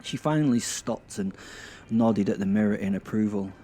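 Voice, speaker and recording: A man's voice: on the low side, moderate pace, dark tone, faint music behind. A British man narrating a first-person audio drama in a bawdy, hesitant, self-interrupting way.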